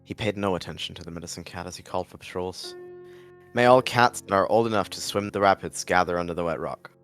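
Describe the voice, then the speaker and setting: Brief: male roleplayer, dramatic story delivery, deep voice, brisk pace, faint music underneath. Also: a bit bright.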